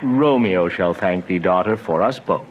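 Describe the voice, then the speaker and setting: An actor playing a grave, kindly friar, speaking in a measured, solemn Shakespearean manner. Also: male voice, low-pitched, lo-fi sound, a little hiss or hum, natural voice. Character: grave, kindly, cautioning friar, male confessor. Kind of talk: Shakespearean stage-style drama.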